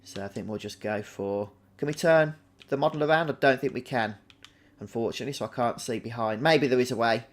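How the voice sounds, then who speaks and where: Man, casual gaming commentary. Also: medium pitch. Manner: unhurried, chatty, explaining choices aloud. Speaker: gaming video host. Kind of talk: casual Let's Play commentary.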